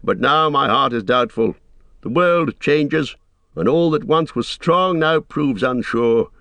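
A man's voice: mid-range voice, lively intonation, slow pace, a bit bright, no echo, pitched above the performer's own voice. A man reading the lines of an aging king, weary but defiant, in a grave, stately way.

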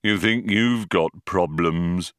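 A man voicing a manically depressed, self-pitying robot genius who talks in a slow, weary, condescending way, in a storybook reading. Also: low voice, fairly bright tone, no echo, studio quality. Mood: gloomy, weary.

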